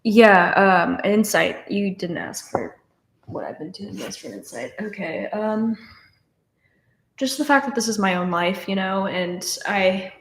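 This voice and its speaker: A woman of nineteen talks through viewer questions on a live stream, loose and chatty, trailing off and restarting as she goes. Her voice sits at a middle pitch. The room around her is echoey. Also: lively intonation, unhurried. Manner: casual, chatty, many fillers and restarts. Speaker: streamer answering chat questions. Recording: roomy echo.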